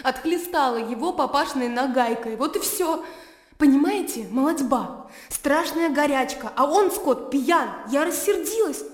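High-voiced, headstrong young country gentlewoman. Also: lively intonation, unhurried. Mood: chatty, indignant. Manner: opinionated, emotional, chattering. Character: spirited, naive, blunt young country gentlewoman. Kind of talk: Russian radio drama acting. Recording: roomy long reverb with music behind.

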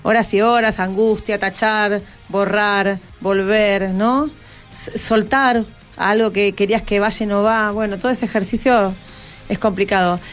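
A woman's voice: mid-range voice, fairly even intonation, unhurried, faint music behind. A woman with an Argentine accent hosting an informal radio interview with students. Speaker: radio host.

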